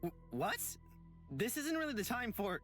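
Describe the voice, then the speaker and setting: A timid, earnest young man from a visual novel. He is hesitant and easily flustered, with a lively, wide-moving pitch and faint music behind. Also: normal speed. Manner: hesitant, stammering.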